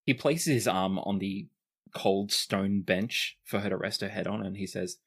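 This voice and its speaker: A man narrating a tabletop role-playing game as its game master. His voice sits a little low, and he talks in a relaxed way with fillers now and then. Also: measured pace, husky.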